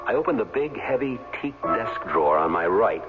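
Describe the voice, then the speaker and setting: Hard-boiled radio-play hero and narrator: defiant, plain-spoken, lively swings in pitch, very dull vintage sound. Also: male voice, moderate pace, music in the background. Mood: tense, determined. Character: defiant retired football player, tough everyman narrator. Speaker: first-person narrator and lead. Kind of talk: 1970s radio drama acting. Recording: very muffled 1970s radio recording.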